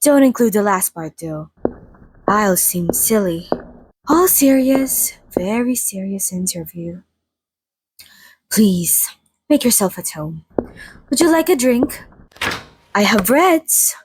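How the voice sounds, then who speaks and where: A woman voicing a playful, theatrical century-old female vampire in a chatty, mock-formal ASMR roleplay monologue, with a soft, breathy voice. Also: medium pitch, animated, slow pace, pauses often, a little hiss or hum, poor audio. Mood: gracious, chatty. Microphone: close studio microphone, dry.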